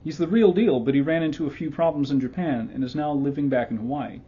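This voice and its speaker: Guy reading a pushy sumo recruiter bragging about his guy, mellow husky voice, muffled. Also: a little high, fast. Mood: boastful. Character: brash male sumo recruiter talking up a fighter. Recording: dull lo-fi sound.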